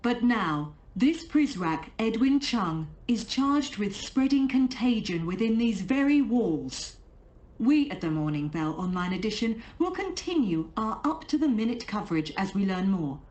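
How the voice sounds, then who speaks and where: A woman's voice: mid-range voice, big pitch swings, measured pace, a little mellow, dull audio. A swooping, dramatic female newscaster. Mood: ominous, emphatic. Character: dramatic female news announcer of a dystopian city. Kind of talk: scripted news bulletin in an audio drama.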